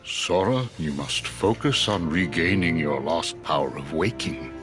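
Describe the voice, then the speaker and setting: Super deep old wizard master, solemn n commanding, game cutscene w music under it. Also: male voice, lively intonation. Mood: grave, instructive. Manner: formal, measured, solemn. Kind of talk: video game cutscene voice acting.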